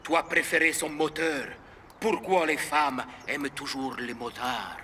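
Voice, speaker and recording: Man as jilted cartoon crooner, very high, slow, bitter, long reverb. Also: steady tone, noisy background, natural voice. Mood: lovesick bitterness. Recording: long reverb, music behind.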